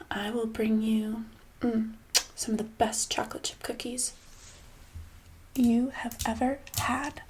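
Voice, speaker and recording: Soft, high-voiced sinister lady boss, acted by a woman. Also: natural voice. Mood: smugly sweet. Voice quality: soft, breathy, often slipping into whisper. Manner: casual, condescending, falsely sweet, hesitant pauses. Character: smug, sinister female boss sweetly holding an employee hostage. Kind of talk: soft-spoken ASMR roleplay.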